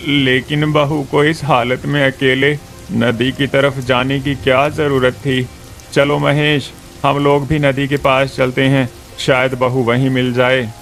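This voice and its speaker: A man voicing a measured, fatherly older village uncle in a cartoon story. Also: mid-range voice, flat delivery, a bit bright, faint music behind. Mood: puzzled. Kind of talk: Hindi cartoon story voice acting.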